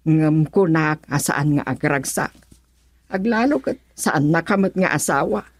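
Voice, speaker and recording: A stern Filipino mother in a radio drama. Her voice is on the low side and quite husky, recorded close and dry. She speaks with scolding, aggrieved emphasis, protective of her daughter. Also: a little slow, dry recording. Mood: indignant recounting. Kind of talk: Ilocano radio drama acting.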